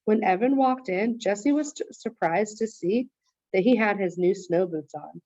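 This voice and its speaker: A woman's voice: mid-range voice, moderate pace, mellow, dry recording, muffled recording. A woman reading a children's story aloud as narrator.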